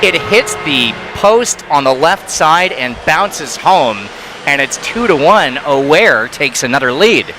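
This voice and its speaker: Excitable man calling hockey play-by-play. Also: fairly high pitch, soft background music. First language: not Thai. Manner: rapid, excitable calls on big plays. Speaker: play-by-play commentator.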